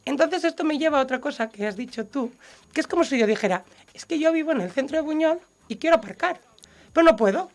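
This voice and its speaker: A woman from Siete Aguas, explaining a law on a radio discussion and giving lively everyday examples. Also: sits high, fast. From Siete Aguas, Valencia, Spain.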